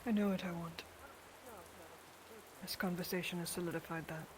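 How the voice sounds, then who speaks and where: A woman's voice: fairly low pitch, steady tone, moderate pace, a crowd in the background, natural voice. A woman playing a bold, vengeful female adventurer in improvised fantasy roleplay, talking in casual slang with some swearing. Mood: defiant, confident.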